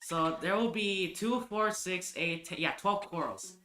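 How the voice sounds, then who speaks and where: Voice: medium pitch, animated, a little slow, sharp tone, roomy sound, soft background music. A live streamer chatting casually while gaming, thinking aloud and restarting sentences often.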